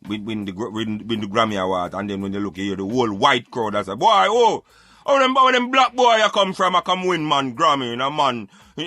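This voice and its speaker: Bright, forceful Jamaican man, emphatic. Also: a little high, no echo. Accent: Jamaican Patois. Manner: emphatic, preaching, argumentative. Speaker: radio show host. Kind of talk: opinionated talk show commentary.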